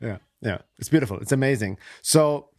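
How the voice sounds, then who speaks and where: A man's voice: medium pitch, expressive, a little fast. A man hosts a podcast interview in a mid-range voice, recorded with a dry sound and no room echo. He speaks in an affirming, reflective way and builds up long questions. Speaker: podcast host.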